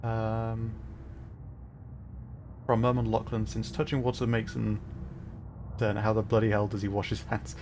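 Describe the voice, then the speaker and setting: A man streaming as a virtual character, giving relaxed, rambling commentary while he plays and answers chat. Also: fairly low pitch, unhurried, long pauses, slight background noise. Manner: relaxed, rambling, chuckles often. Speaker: VTuber streamer. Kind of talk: casual gameplay commentary.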